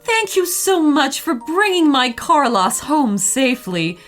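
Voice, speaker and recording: Grateful dinosaur mum for a bedtime story, voiced by a woman, doting, high-pitched and gracious. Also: sing-song, a little slow, fairly bright tone, faint music behind, exaggerated voice. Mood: delighted. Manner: affectionate, gracious. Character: warm, doting, grateful mother Tyrannosaurus.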